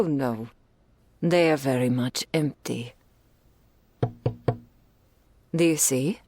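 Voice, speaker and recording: Woman playing a low, creaky, doting dragoness. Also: darkish tone, no room sound, exaggerated voice. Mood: doting, delighted. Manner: archaic, ornate, unhurried, affectionate phrasing. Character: doting, regal female dragon in towering human form. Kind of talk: ASMR roleplay, intimate scripted monologue addressed to listener.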